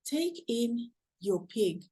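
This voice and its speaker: A woman with a Kenyan accent voicing a calm wise old man who gives soft, unhurried advice in a storybook. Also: fairly high pitch, slightly rough, no echo, full character voice.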